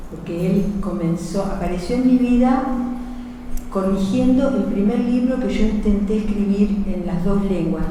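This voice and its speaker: A woman's voice: lowish voice, mellow, slight background noise. A woman poet with an Argentine Spanish accent, telling stories at a book presentation in an expansive, self-correcting way. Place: event hall. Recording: echoey hall from far off.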